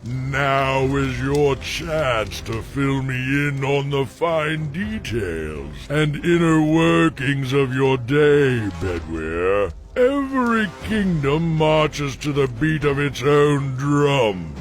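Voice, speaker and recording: A slow, pompous villainous dragon king. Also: medium pitch, lively intonation, faint music behind. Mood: smug, threatening. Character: mighty villainous dragon usurper king, haughty and menacing.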